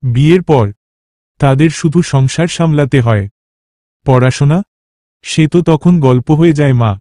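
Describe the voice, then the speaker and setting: A complaining young village son and husband, played by a man, argues in cartoon dialogue. His voice is fairly high for a male and soft-edged, recorded dry, with a grumbling delivery. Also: normal speed, dry recording, close to an everyday voice. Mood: argumentative. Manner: grumbling, argumentative.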